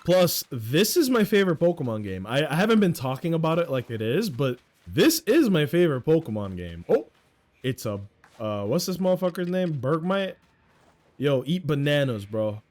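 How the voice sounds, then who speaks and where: A young man streaming a game and chatting casually, with a loud voice and a rambling, profane, self-mocking way of talking. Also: fairly high pitch, very animated, normal speed. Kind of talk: casual live-stream chat while gaming.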